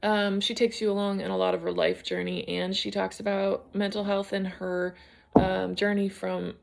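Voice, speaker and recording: Gravelly-voiced woman rambling softly. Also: mid-range voice, level tone, normal speed. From United States. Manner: rambling, searching for words, many fillers and restarts. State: concussed, a little unfocused. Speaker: knitting vlogger. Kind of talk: casual solo vlog chat. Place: at home.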